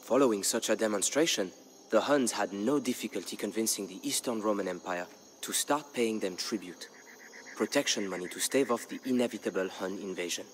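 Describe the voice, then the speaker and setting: A man narrating a historical tale as a dramatic scripted story, in a measured, grave storyteller's delivery. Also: lowish voice, slight background noise. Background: background music.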